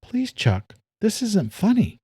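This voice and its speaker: A woman's voice: medium pitch, huge pitch swings, slow, warm tone, husky, dry recording, studio quality, pitched above the performer's own voice, full character voice. A man narrating a story, voicing a tense young woman cop who is exasperated and pleading with her mother. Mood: worried.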